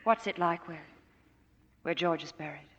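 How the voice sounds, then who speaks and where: An actress playing an earnest, plain-spoken young widow in a 1940s radio drama. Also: female voice, low voice, moderate pace, a bit bright, husky. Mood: tender, sad. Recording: reverberant, muffled, noisy 1940s radio recording.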